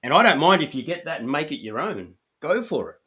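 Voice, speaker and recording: A man's voice: fairly high pitch, very animated, brisk, a bit bright, breathy edge. A man from Australia with an Australian accent, hosting a podcast in an upbeat, coaching way and often saying "you know". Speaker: podcast host. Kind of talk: casual podcast chat.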